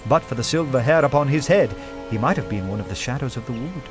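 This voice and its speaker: An actor playing an earnest, awestruck detective who narrates a radio drama in an intense, dramatic manner. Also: male voice, medium pitch, lively intonation, fast talker, music in the background, natural voice. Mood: storytelling. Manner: intense, dramatic, hushed at times.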